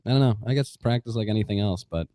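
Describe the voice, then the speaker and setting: Low mellow-voiced joking comedian man. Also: very fast, dry recording, studio quality. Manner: joking, punning, laughs often. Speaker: guest comedian. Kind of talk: casual chat.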